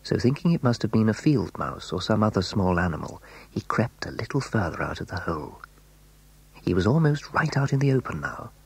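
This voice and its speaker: A man narrating a children's story in a hushed, suspenseful, dramatic way. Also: fairly low pitch, sing-song, fairly quick, creaky, slightly rough, poor audio.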